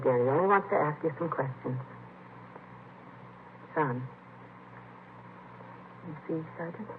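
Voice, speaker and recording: A woman playing an anxious, protective mother in a vintage radio drama, worried and gently coaxing. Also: low voice. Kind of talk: 1950s radio drama acting. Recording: tinny, hissy vintage radio recording.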